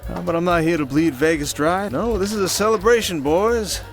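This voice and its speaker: A man's voice: high-pitched, fairly quick. Man acting a suave, showy conman over music. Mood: showy, celebratory. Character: smooth, showy male conman posing as high-rolling heir. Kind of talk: scripted audio roleplay acting. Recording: loud music under the voice.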